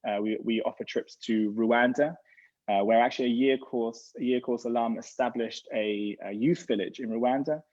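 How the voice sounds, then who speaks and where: Rabbi, mid-pitched man, rambly casual answers on a lo-fi video call. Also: fairly even intonation, normal speed, darkish tone. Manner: rambling, restarts often. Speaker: rabbi representing a gap year program. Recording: dull lo-fi sound.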